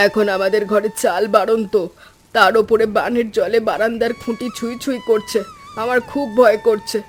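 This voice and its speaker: Cartoon village wife, acted by a man: high voice, fretful yet caring, soft music underneath. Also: little pitch movement, normal speed, lightly acted. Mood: worried. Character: anxious, caring village wife named Sarojini. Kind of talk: animated moral story dubbing.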